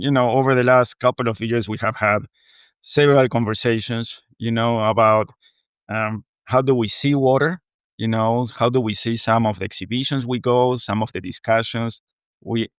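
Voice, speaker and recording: A middle-aged man with an Argentine Spanish accent talks through his thoughts in an earnest, reflective way, leaning often on "you know." His voice is smooth and resonant at a medium pitch, recorded dry and close to the mic. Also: fairly even intonation, moderate pace, no echo. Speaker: guest, water technology executive. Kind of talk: conversational interview.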